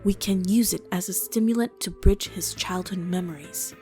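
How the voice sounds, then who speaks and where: A woman voices a composed, no-nonsense woman doctor in a game fan dub. She speaks in a dry, exasperated tone at a mid pitch. Faint music sits behind her. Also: moderate pace, darkish tone, natural voice. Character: dry, level-headed woman doctor, young adult.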